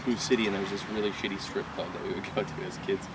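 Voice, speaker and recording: A man's voice: low-pitched, fairly even intonation, rapid-fire. A young man from Michigan, a rock band singer, chatting casually in an interview with rambling restarts and frequent laughs. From Michigan, USA. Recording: far from the mic, loud traffic noise.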